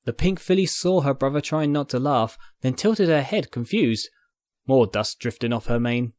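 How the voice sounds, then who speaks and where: A man's voice: mid-range voice, animated, normal speed, darkish tone, no echo, clean studio sound. A man reading fiction aloud as narrator, in scripted dramatic storytelling style. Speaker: narrator, fan fiction reader.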